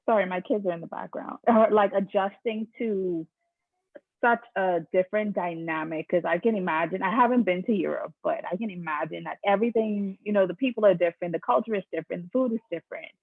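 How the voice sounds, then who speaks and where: A woman's voice: mid-range voice, fairly quick, vocal fry, no echo. A woman from the Bahamas asking a question hesitantly, with many fillers. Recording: dry tinny phone line.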